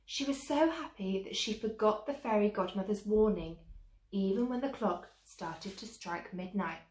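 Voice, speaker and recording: A woman's voice: mid-range voice, moderate pace, darkish tone. A woman storyteller reading a children's tale expressively, like a teacher to young listeners. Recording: echoey room.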